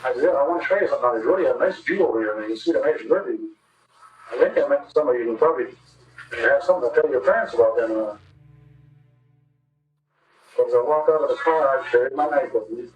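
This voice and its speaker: A man speaks in a halting, rambling way, answering questions in a police interview. The old tape is dull and echoey, with him sounding away from the microphone. Faint music sits underneath. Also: medium pitch. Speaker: confessing convict in police interview. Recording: echoey, distant, muffled archival interview tape.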